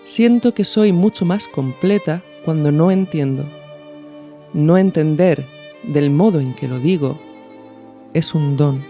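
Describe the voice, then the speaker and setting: A woman's voice: sits low, slow pace, mellow, faint music behind. A woman with a Peninsular Spanish accent hosting a podcast, speaking in a thoughtful, informal, reflective way. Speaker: podcast host. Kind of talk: reflective commentary with a literary reading.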